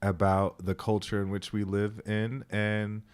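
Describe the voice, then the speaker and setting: A man's voice: fairly low pitch, little pitch movement, a little slow, a little mellow, clear, resonant tone. A man co-hosting a podcast, chatting casually and hesitantly with many ums before telling a story. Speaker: podcast co-host.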